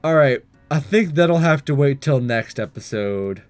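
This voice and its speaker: A man's voice: fairly high pitch, expressive, measured pace, no room sound, faint music behind. A man giving casual, playful let's-play commentary as a gaming video host. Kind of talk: casual let's-play commentary, reading a visual novel aloud.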